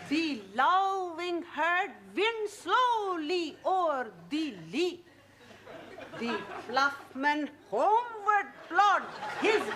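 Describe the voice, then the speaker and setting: An earnest student reciting. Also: female voice, sits high, a bit bright, slight background noise, exaggerated voice. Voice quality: broken English, formal, hesitant. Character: earnest Indian woman student reciting poetry.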